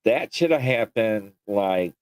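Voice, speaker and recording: A man's voice: fairly low pitch, expressive, slow, darkish tone, smooth, dry recording. A man co-hosting a casual chat show, joking and teasing his co-host.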